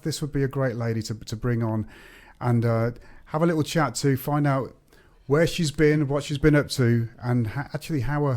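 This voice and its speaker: A man hosting a podcast interview, friendly and halting, often restarting his words. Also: mid-range voice, a little mellow. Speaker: podcast host, chiropractor.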